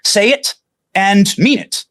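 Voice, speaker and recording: A man's voice: sits high, slow, fairly bright tone. A man arguing on a debate panel, emphatic and combative, pushing on through interruptions. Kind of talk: heated televised panel debate.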